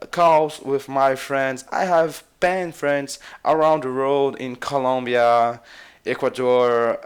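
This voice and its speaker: A man's voice: mid-range voice, clean tone. A man from Brazil with a Brazilian Portuguese accent, a native Portuguese speaker giving casual advice on learning languages, hesitant and full of filler sounds. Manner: hesitant, many filler uhs, repeats himself. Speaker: language vlogger.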